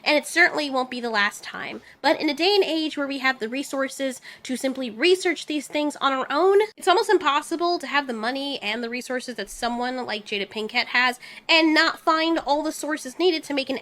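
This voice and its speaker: A woman's voice: high-pitched, bright, forceful tone. A woman hosting a commentary video, giving a firm, lecturing opinion monologue. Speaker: commentary channel host.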